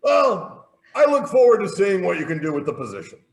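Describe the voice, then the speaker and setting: A man acting in a stage farce as a blunt, excitable newspaper boss with a theatrical delivery, recorded in an echoey room. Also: high voice, fairly quick, creaky, exaggerated voice.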